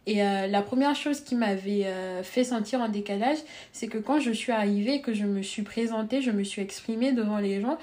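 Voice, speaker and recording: A woman's voice: medium pitch, normal speed. A young woman with a Congolese accent touched by French, telling a personal story as a podcast guest, earnest and reflective. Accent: Congolese with French influence. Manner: reflective, earnest, lively when recounting.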